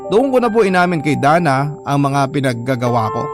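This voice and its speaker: A man's voice: a little high, very animated, a little fast. A man with a Filipino accent narrating a personal story in a dramatic, scripted radio style. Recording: music bed behind the voice.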